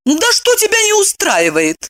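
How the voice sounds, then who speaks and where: A woman's voice: very high voice, wildly swooping pitch, normal speed, a bit bright, pitched above the performer's own voice, exaggerated voice. A woman voicing a cheeky, coy young woman in an audiobook, retorting to her friend in a flippant, theatrical way. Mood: bewildered.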